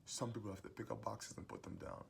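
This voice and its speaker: A young adult man with a booming voice gives a preachy, emphatic motivational monologue as host of his own series. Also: flat delivery, fast, gravelly, a little hiss or hum. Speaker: host giving advice monologue.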